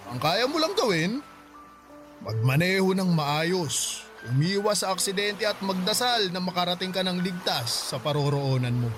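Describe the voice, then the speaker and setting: Radio drama role: heartbroken, boozy middle-aged uncle, raised pitch, reflective and weighty, over soft music. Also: male voice, animated, moderate pace. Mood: reflective, resigned. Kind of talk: Filipino radio drama dialogue.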